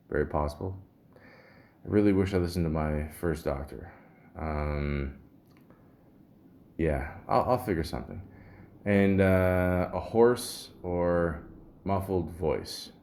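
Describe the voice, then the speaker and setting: A man with a deep, somewhat muffled voice and a sore throat, rambling casually and grumbling with some swearing as a solo podcast host. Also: vocal fry, a little mellow, a little hiss or hum. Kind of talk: casual solo podcast rambling, reading symptom lists aloud.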